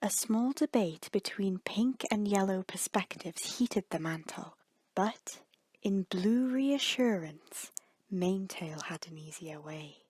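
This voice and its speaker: A woman narrates a story with a breathy, husky voice at a middling pitch. Her reading is measured and dramatic, with deliberate pauses, in a very dry recording. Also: lively intonation, darkish tone, dry recording.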